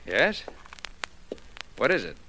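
An actor playing an evasive, glib stockbroker in a 1940s radio drama, excusing himself smoothly. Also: male voice, mid-range voice, sing-song, takes it slowly, sharp tone, exaggerated voice. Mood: defensive. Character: smooth-talking, evasive stockbroker and secret lover.

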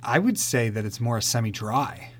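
A man's voice: medium pitch, animated, moderate pace, a little hiss or hum. Male podcast co-host, casual and playful, talks at length. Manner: playful, chatty, explains at length. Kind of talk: casual chat, wine tasting.

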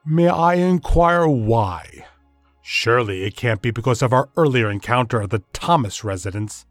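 A man voicing an ominous, sneering male high priest with a cold, haughty, slow menace. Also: animated, dry recording, soft background music, close to an everyday voice. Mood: menacing.

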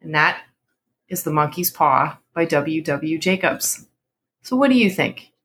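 A woman's voice: deep voice, lively intonation, unhurried, fairly bright tone, slightly rough. A woman hosting a podcast, moving between dramatic story narration and casual chat. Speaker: podcast host and story reader.

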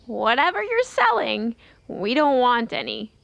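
A crotchety old woman living out in the wilds, with a creaky, bright voice. She talks in a theatrical, folksy way with big swings of pitch, made for a children's audio drama. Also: big pitch swings, unhurried, no room sound, lo-fi sound, soft background music, exaggerated voice. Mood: gruff, dismissive. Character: short, gruff but twinkly old woman hermit Maggie.

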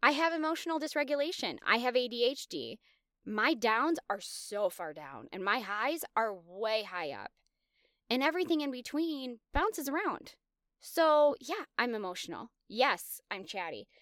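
Smooth-voiced woman sharing candid stories. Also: fairly high pitch, sing-song, moderate pace, fairly bright tone, no room sound, studio quality. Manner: candid and confessional, self-reflective. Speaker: podcast host. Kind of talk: personal storytelling monologue.

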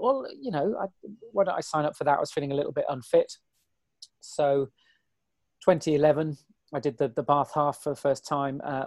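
A man in his forties, a guest on an interview, talking in a chatty, self-deprecating, rambling way with a hoarse, weary voice. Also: a little high, darkish tone, no echo. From Britain. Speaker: guest, ultrarunner. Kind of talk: casual interview chat.

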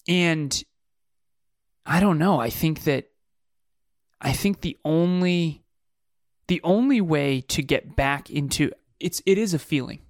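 A man's voice: fairly high pitch, takes it slowly, long pauses, dry recording, studio quality. A man co-hosting a podcast, musing aloud in casual chat with frequent restarts. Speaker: podcast co-host.

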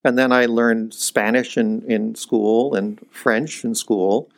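An older man, a cardiologist guest, talking through his experience in a thoughtful interview, with many restarts and pitch lifts when he stresses a point. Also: steady tone, slow, creaky. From United States, born in Iceland. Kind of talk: conversational interview.

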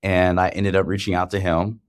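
A man guesting on a podcast who tells his story in a relaxed way. His voice sits somewhat low. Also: moderate pace. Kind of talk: interview answers.

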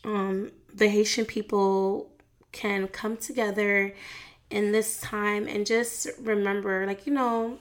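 A woman's voice: mid-range voice, flat delivery, takes it slowly. Chatty frank woman vlogger. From United States. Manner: chatty, frank, peppered with fillers and slang. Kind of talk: casual celebrity gossip chat.

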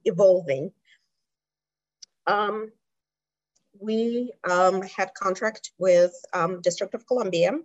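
A middle-aged woman from Kazakhstan talks through her career in English, her second language, pausing often with thoughtful ums. Her voice sits fairly low for a woman. The recording is dry and a little dull, lo-fi. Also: dry recording. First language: not English. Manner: thoughtful, many um pauses. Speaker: health plan president. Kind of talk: speaking on a panel.

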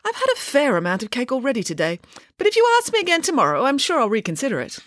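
A bold, cake-loving pig nanny, put on by a woman reading a storybook. She answers brightly and breezily, her pitch leaping high and lively. The sound is dry and close. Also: very fast, no echo. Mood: breezy.

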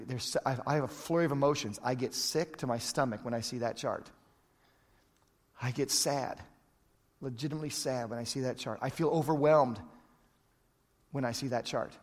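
A man preaching a sermon, emphatic and repeating phrases for effect, speaking in a large church hall. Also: a little high. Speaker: pastor. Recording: long hall reverb.

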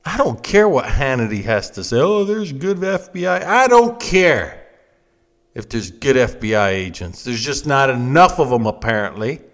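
A man's voice: medium pitch, very animated, moderate pace. An American man in his fifties delivering an opinionated political monologue, blunt and emphatic, often repeating phrases to drive them home. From United States. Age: 50s. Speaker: political commentator and host.

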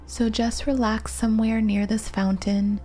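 A woman narrating a guided relaxation meditation in a soft, gentle, soothing manner with long pauses. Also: fairly high pitch, level tone. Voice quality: soft, breathy. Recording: meditation music behind the voice.

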